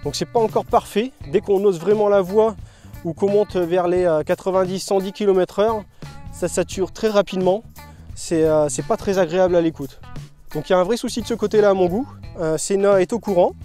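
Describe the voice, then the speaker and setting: High-pitched man reviewing gear, soft-toned. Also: fairly quick, soft background music. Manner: informal, conversational. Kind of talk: casual product review narration and riding chat.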